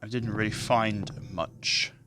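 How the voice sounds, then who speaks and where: A man with a very deep, bassy voice, hosting casual let's-play commentary on a gaming headset mic, chatty and self-deprecating. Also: steady tone, slow, pushed and bright, a little hiss or hum. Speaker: gaming channel host. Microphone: gaming headset with adjustable boom mic. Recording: game music playing under voice. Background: game music and sounds.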